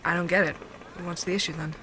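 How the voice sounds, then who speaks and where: An actor playing a bright, cocky, teasing barista. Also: mid-range voice, steady tone, quick pace, a little hiss or hum, lightly acted, poor audio. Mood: casual. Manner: playful, mock-grandiose. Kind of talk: audio fiction dialogue.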